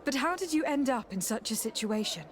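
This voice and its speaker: A composed, higher-voiced noblewoman diplomat. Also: animated, fairly quick, slight background noise. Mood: friendly. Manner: articulate, diplomatic, firm. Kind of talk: video game voice acting.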